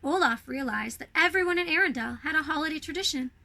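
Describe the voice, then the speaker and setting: A woman reading a children's storybook aloud in a playful, expressive way, speaking to young listeners. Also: high voice, quick pace, gravelly.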